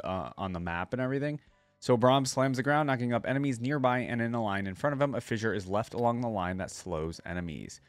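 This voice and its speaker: A man's voice: mid-range voice, no echo. A man hosting a reaction video with informal, easily impressed commentary, reading things out and reacting as he goes.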